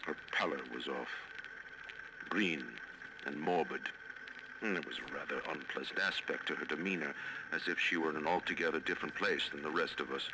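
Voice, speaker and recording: An actor playing a grave, pompous male magnate, speaking in a florid, deliberate, oratorical way in a game audio diary. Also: extremely low pitch. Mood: disdainful. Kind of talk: dramatic audio diary monologue in a video game. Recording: noisy old radio-like audio diary.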